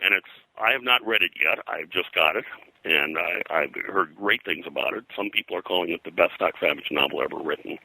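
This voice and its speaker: A man talking informally as an interview guest and historian, rambling and haltingly, often repeating words. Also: deep voice, creaky, bright, forceful tone, dry recording, low-quality recording. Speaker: guest, pop culture historian. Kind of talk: informal interview talk about pulp fiction history.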